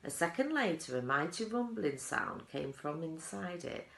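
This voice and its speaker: A woman's voice: low voice, big pitch swings, normal speed, gravelly, a bit bright. A woman reading a children's story aloud as a teaching assistant, expressively leaning on the descriptive words. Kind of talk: storybook narration, read aloud. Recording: roomy, echoing indoor sound.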